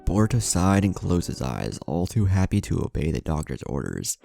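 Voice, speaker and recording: Male narrator for dramatic fiction: very low, gravelly, lively intonation over music. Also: moderate pace. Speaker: narrator of a fan fiction story. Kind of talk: scripted dramatic story narration.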